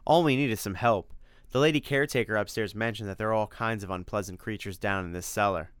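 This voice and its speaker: A man's voice: fairly low pitch, fast talker, clear, resonant tone, dry recording, lightly acted. A man voicing a plucky young male adventurer who talks in a casual, slangy, eager way. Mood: friendly.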